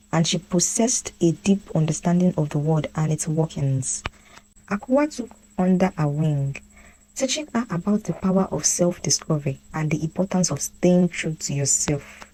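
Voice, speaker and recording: A woman storyteller with a low-pitched, soft and mellow voice. She narrates a scripted folktale. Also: poor audio.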